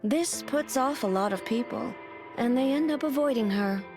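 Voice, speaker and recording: A woman voicing a calm, thoughtful woman soldier in a game. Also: on the high side, normal speed, close to an everyday voice. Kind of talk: game voice acting. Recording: game audio over faint music.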